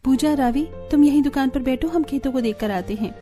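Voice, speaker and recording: A woman voicing expressive village women in a cartoon family story, with emphatic delivery. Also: high voice, a little fast, faint music behind, lightly acted. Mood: cheerful, caring. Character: village women, spirited young and scolding daughters-in-law and mothers-in-law. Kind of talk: Hindi cartoon story acting.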